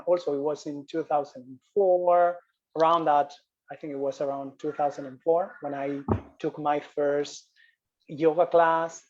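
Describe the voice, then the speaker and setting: Spanish-accented man, flat level voice. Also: fairly high pitch, muffled recording. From Spain. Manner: repeats and restarts phrases, says "you know" often. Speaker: guest, yoga business coach. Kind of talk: interview, telling his story.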